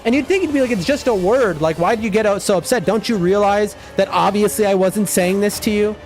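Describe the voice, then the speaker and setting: A young man streaming a game, shouting during fights and rambling to his chat between them. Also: sits high, brisk, soft background music. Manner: excitable, loud outbursts during fights; rambling, many fillers and restarts when chatting. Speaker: gaming streamer. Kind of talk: live gameplay commentary and chat talk.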